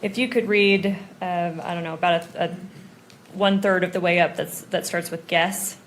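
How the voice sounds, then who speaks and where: A woman's voice: lowish voice, steady tone, moderate pace, a little hiss or hum. A woman speaking as an attorney at a hearing, politely giving procedural instructions to a witness. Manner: polite, directing.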